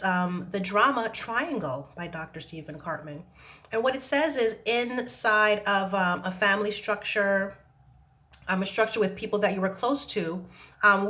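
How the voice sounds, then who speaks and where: A woman talking to camera about self-healing, hesitant with many pauses and restarts, her voice still a little rough from laryngitis. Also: medium pitch, fairly bright tone. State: recovering from laryngitis, slight roughness. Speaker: self-help vlogger. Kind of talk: reflective talk to camera. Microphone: camera mic.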